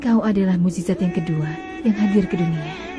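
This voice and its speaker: A woman voicing a calm, devout mother she-camel in a dubbed cartoon, speaking softly and tenderly, with music under her lines. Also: lowish voice, brisk, natural voice. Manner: soft, tender, soothing. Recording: music bed under dialogue.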